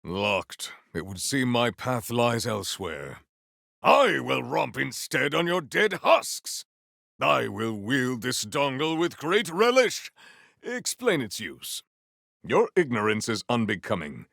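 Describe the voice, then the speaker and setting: An actor playing a proud, battle-hungry warrior in a video game, with formal, stilted and grandiose diction. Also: male voice, mid-range voice, big pitch swings, slow, gravelly, fairly bright tone, no echo, studio quality, full character voice. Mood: haughty, resolute. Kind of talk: scripted video game character lines.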